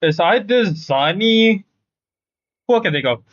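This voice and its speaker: A male game streamer giving casual live reactions in slangy, exclamatory bursts. He speaks at an unhurried pace on a dull, lo-fi mic. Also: sits high, animated, a bit bright. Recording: dull, lo-fi microphone sound.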